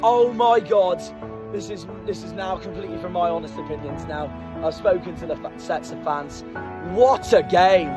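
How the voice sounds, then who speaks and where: A young man, a football fan vlogging after a match, hoarse from shouting and repeating himself in excitement, filmed at arm's length. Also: high voice, moderate pace, music in the background. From Plymouth, England. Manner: breathless and elated, repeating himself. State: nearly lost his voice. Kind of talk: excited post-match vlog. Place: outdoors at night, then indoors. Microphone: handheld camera at arm's length.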